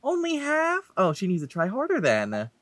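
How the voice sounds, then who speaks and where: Animated, sarcastic let's play gamer. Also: mid-range voice, moderate pace, dry recording. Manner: sarcastic, playful. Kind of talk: casual game commentary, reacting to dialogue.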